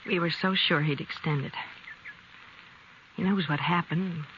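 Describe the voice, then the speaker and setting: An actress playing a hospitable, proud but anxious frontier homesteader's wife in an earnest 1950s radio western style. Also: female voice, deep voice, unhurried. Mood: shaken, brave. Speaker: radio drama actor. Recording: dull, slightly noisy 1950s radio recording.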